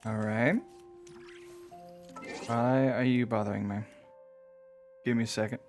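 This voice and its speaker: A man's voice: mid-range voice, fairly even intonation, measured pace. A man livestreaming a game with casual, offhand commentary, slangy and given to swearing. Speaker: game streamer. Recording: game music behind the voice. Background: game music.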